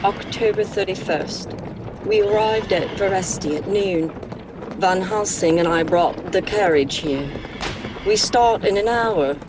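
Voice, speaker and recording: An actress playing an anxious young Victorian woman in a gothic horror radio play, speaking in a deliberate, theatrical way. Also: mid-range voice, heavy background noise, close to an everyday voice. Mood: grave. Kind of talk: dramatic radio-play acting. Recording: dramatic music behind the voice.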